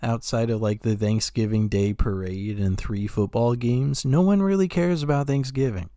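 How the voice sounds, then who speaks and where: A man's voice: medium pitch, mellow, dry recording, clean studio sound. A man co-hosting a podcast, chatting casually and hesitantly, often murmuring agreement. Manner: slow, hesitant talk with frequent backchannel murmurs. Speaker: podcast co-host. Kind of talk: casual chat.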